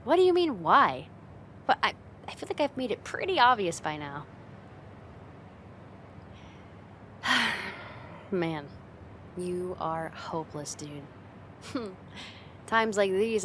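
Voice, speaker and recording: A confident young woman played by a female voice actor teases the listener in an ASMR roleplay. Her pitch moves around a lot and her delivery is casual and playful, with a touch of fond exasperation. A faint low rumble sits behind the voice. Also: animated, a little slow, long pauses, a bit bright. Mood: exasperated, fond. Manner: playful, teasing, casual, giggly, lightly exasperated. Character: teasing, confident young woman, a friend's older sister.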